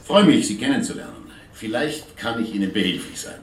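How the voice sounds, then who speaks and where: An actor in a radio crime drama playing a courteous, talkative Viennese police inspector with a formal, measured manner. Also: male voice, lowish voice, expressive, a little hiss or hum, lightly acted. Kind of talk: German radio play acting. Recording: roomy, distant video link sound, music.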